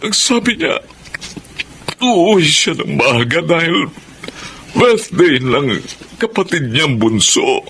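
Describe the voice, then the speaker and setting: A man acting a grieving, devoted widowed father in an emotional, halting radio drama style. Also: fairly high pitch, sing-song, noisy background, exaggerated voice. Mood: anguished grief. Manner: emotional, halting delivery with repeated words. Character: grieving widowed father, devoted and anxious about his children. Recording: music under the voice.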